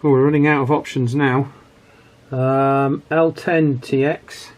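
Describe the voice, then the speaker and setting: A man giving a casual hands-on repair commentary, thinking aloud with pauses mid-phrase. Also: medium pitch, little pitch movement. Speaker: electronics repair vlogger.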